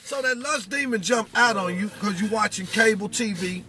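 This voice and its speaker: A man's voice: sits high, normal speed, a crowd in the background. A middle-aged American man giving an informal spiritual talk in an emphatic, instructive way, often checking in with questions. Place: inside a vehicle cab. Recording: very noisy vehicle, road noise. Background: car and outdoor noise.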